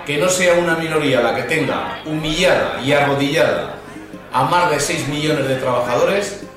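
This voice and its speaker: A man's voice: a little high, normal speed. Very echoey male political orator. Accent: Spain. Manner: declamatory, emphatic. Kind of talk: political speech. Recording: very echoey distant speech clip, crowd.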